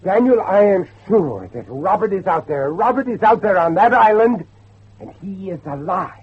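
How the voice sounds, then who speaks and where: An actor playing an anxious, devout middle-aged father searching for his son, pleading and halting in a horror audio drama. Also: high-pitched, animated, breathy edge. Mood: resolute, worried. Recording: dull, muffled lo-fi drama recording.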